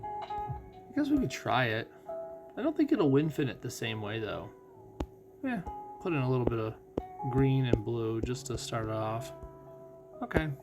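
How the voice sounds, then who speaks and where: A man giving casual game commentary as host of a playthrough, thinking out loud and putting questions to himself. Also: mid-range voice, very animated, measured pace, pauses often. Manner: thinks out loud, asking and answering own questions. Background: music.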